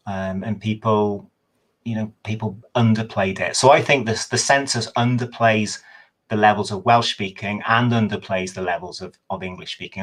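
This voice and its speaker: Low-voiced man explaining history. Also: fairly bright tone, low-quality recording. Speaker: history professor. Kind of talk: explanatory talk in a webinar.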